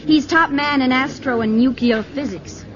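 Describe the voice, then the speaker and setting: A woman's voice: high voice, normal speed, natural voice. An actress playing an enthusiastic, insistent young woman in a 1950s radio drama. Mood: eager. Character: eager, admiring young woman graduate. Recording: muffled vintage radio broadcast with crowd noise.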